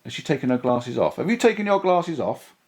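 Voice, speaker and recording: Man, chatty, thinking aloud, casual gear review, mid-pitched voice. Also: big pitch swings, fairly quick, darkish tone, husky. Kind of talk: casual product demo. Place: outdoors on a bike, then indoors.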